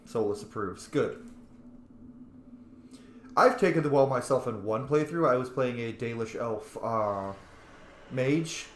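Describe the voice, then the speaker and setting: A man's voice: medium pitch, lively intonation, a little slow, long pauses. A man playing a video game and commenting on it casually, chatty and opinionated. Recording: echoey room, faint background noise.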